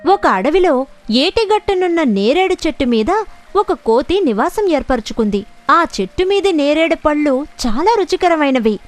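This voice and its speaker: A woman reads a scripted tale for children as narrator. Her voice is high and swings widely in pitch. Music plays behind her.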